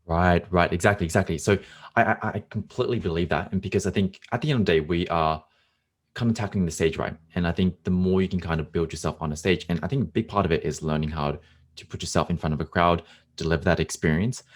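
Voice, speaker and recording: Male podcast host with a very low voice; eager, emphatic interviewer. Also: fairly even intonation, quick pace. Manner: enthusiastic, repeats words for emphasis.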